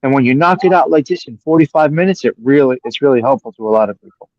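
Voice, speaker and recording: A man's voice: medium pitch, lively intonation, fast talker, a little mellow, no room sound. Man hosting with a muffled, dry sound. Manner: rambling, circles back and repeats himself. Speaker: podcast host. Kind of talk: casual conversation.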